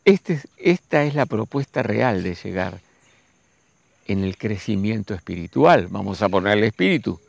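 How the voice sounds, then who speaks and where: Gravelly man in his sixties. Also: lowish voice, big pitch swings, no room sound. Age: 60s. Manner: slow, musing, addresses listeners directly. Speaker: spiritual teacher. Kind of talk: reflective spiritual monologue.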